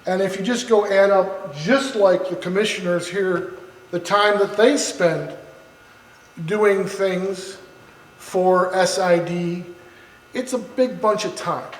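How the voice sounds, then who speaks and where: High-voiced, earnest council member. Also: male voice. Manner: earnest, conciliatory, halting. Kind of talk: public meeting remarks. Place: city council chamber. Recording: echoey meeting room.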